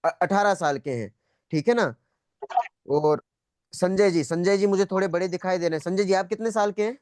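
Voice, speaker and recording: Man, fairly high voice, interactive spiritual lecture, conversational, repeats points, checks understanding, dry close sound. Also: moderate pace, a little mellow, dry recording. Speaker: teacher of an online spiritual class. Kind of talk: interactive lecture, questioning students. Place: online video call.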